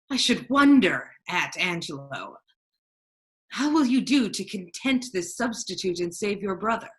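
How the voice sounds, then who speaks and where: Woman playing a grave friar, bright and measured. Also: mid-range voice. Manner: measured, formal. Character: disguised Duke, grave, counseling male friar. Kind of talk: staged reading of Shakespeare.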